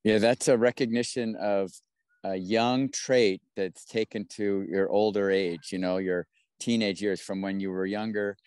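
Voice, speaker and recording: Male host on a video call, warm rambling encouragement, clear dry sound. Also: fairly low pitch, fairly even intonation, a little slow, dry recording. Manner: rambling, encouraging, many restarts. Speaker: webinar host. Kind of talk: casual hosting on a video call.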